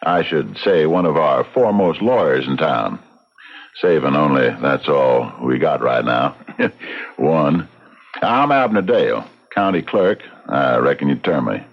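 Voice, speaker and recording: A man's voice: a bass voice, lively intonation, measured pace, vocal fry, exaggerated voice. An actor playing a big, folksy frontier clerk and lawman in a radio drama, with plain country talk. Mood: affable, wry. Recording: dull lo-fi radio recording.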